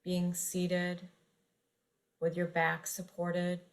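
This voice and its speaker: A woman guiding a yoga nidra meditation, speaking gently and unhurriedly with long pauses between phrases. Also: fairly low pitch, completely flat delivery. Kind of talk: guided meditation narration.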